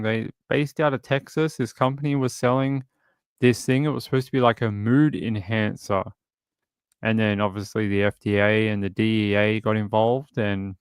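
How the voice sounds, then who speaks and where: A man's voice: mid-range voice, clean tone, dry recording, studio quality. A man from Sydney with an Australian accent, hosting a livestream in casual, rambling chat with his viewers. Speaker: livestream show host.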